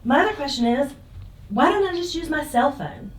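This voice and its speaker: A woman's voice: high-pitched, expressive, normal speed, recorded from a distance. A woman casually asking questions as an interviewer from behind the camera, away from the microphone. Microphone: distant from speaker, behind camera. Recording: roomy, off-mic behind camera.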